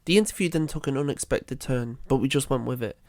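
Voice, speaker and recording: A woman's voice: very deep voice, fast talker, a little mellow, soft background music. A woman playing a matter-of-fact podcast presenter in a comedy audio drama.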